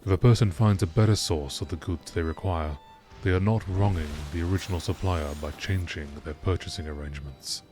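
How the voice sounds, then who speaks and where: A man's voice: low voice, brisk, few pauses, darkish tone, faint music behind. A man reading scripted text as a narrator, in the style of a nature documentary. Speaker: narrator reading game lore entries.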